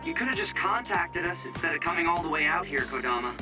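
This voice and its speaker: An actor voicing a prickly, curt young tech-minded squad member in an English-dubbed anime game. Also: male voice, on the high side, lively intonation, fast, distant mic, over music, close to an everyday voice. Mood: irritated.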